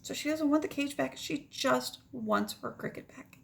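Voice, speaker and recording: A woman's voice: sits high, moderate pace, creaky, a little hiss or hum. A woman reading a children's picture book aloud, expressively, with casual asides about the pictures. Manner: expressive, pointing out pictures to listeners.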